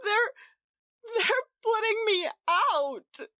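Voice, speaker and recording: A woman's voice: very high voice, sing-song, slow pace, a bit bright, dry recording, studio quality, pitched above the performer's own voice, exaggerated voice. A woman voicing a distraught young wife who sobs and stammers as she protests. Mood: wailing. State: crying.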